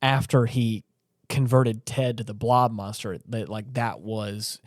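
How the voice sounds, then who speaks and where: A man's voice: fairly low pitch, slow pace, dry recording, studio quality. A man hosting a podcast in casual discussion, speaking earnestly and emphatically and repeating himself to stress his points. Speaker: podcast host.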